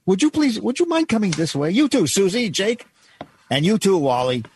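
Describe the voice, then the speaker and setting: An actor playing a helpful, scheming department store employee in an old-time radio drama, with folksy, persuasive patter. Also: male voice, high-pitched, very animated, normal speed, darkish tone, a little hiss or hum.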